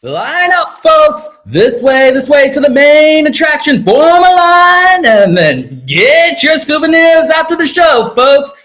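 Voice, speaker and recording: A man as a very high-pitched circus barker. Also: sing-song, takes it slowly, few pauses, a bit bright, full character voice. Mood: brisk, rousing. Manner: projecting, sing-out vendor patter. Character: showy circus barker ushering crowds to the big top. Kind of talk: stage acting in a play reading. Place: indoors on a video call.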